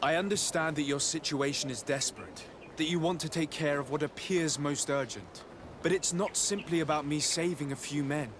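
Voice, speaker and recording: A young gentleman diplomat from a video game cutscene , acted by a man, with a slightly raised pitch. He is composed and resolute, choosing formal, courteous words. A little background noise sits under the voice. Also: lightly acted. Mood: earnest, resolute. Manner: formal, measured, polite. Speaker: diplomat character.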